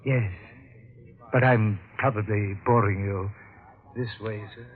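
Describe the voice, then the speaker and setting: An actor playing an odd, deferential butler, quietly obsessed and reverent, in an old-time radio drama. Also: male voice, on the low side, fairly even intonation, slow. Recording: dull, faintly noisy old radio recording.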